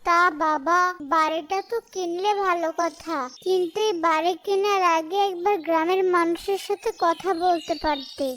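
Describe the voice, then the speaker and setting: A woman's voice: very high voice, steady tone, normal speed, few pauses, a bit bright, slight background noise. A woman voicing a meddling older village neighbour in a cartoon, speaking with dramatic, ominous caution. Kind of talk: cartoon voice acting.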